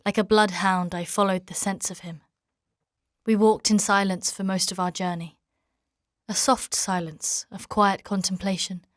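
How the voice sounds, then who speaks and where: A woman playing a stern, devout knight in a dark fantasy audio drama, speaking and narrating in a formal, measured, archaic way. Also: medium pitch, flat delivery, no room sound, clean studio sound, natural voice. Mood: solemn, reflective. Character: battle-weary female knight, stern, devout, formal, solemn narrator of her quest.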